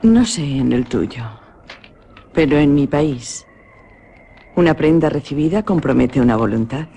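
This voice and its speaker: A proud young Spanish noblewoman from a radio play, icy and disdainful. Her voice is dark and mellow, with a gravelly edge of fry. She speaks with cool, clipped contempt. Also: sits low, animated, unhurried, a little hiss or hum. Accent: Spain Spanish.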